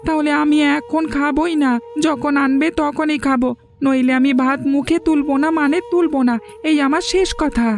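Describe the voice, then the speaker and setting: Woman acting whiny cartoon kid, high voice, obstinate, insistent, music underneath. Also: fairly even intonation, normal speed. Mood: stubborn, sulky. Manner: insistent, petulant. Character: stubborn, whining young child demanding meat with rice.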